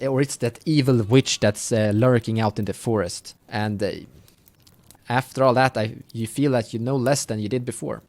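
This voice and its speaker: A man working as game master, improvising the narration of a tabletop game in a halting, conversational way. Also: medium pitch, darkish tone, no echo.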